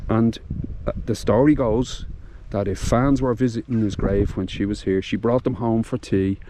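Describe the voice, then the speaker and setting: A man's voice: lowish voice, fairly even intonation, normal speed, background noise. A man with a Dublin accent, talking casually and from the heart as he vlogs outdoors, with lots of fillers and restarts. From Ireland. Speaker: vlogger. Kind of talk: casual vlog commentary.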